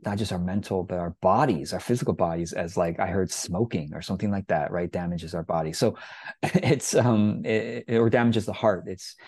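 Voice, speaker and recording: A middle-aged man hosting a casual interview on a video call, talking with warmth and enthusiasm from a room at home through an earphone mic. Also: low voice, normal speed, slightly rough, no echo, clean studio sound. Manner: warm, enthusiastic, gestures a lot while talking. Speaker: host.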